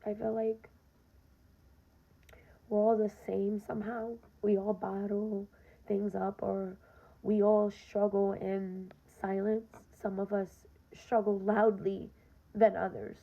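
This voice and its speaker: A young woman with a very gravelly, raspy voice talks straight to her listeners in a casual podcast monologue. Her delivery is earnest and direct, with a fairly flat pitch. The recording sounds dull and lo-fi. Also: slow pace. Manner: earnest, direct, frequent "um" and "you know". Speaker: podcast host.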